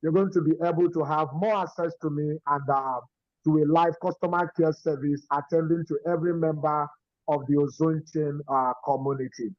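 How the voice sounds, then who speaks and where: A man's voice: a little high, no echo. A man giving an informal, reassuring thank-you address as a community leader in an online meeting, repeating his phrases. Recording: dull lo-fi online meeting audio.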